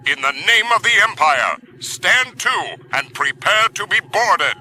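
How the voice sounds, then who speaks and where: An actor playing a stern Imperial military officer in an audio drama, formal and commanding, heard through a comlink radio. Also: male voice, high-pitched, sing-song, unhurried, pushed and bright, soft background music, full character voice, low-quality recording. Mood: menacing. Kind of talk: 1990s audio drama acting.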